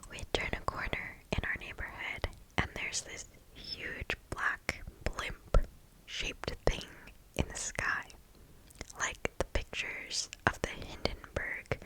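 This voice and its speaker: A woman reading stories aloud for ASMR in a breathy, hushed whisper, close to the microphone. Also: low-quality recording. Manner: slow, hushed, deliberate.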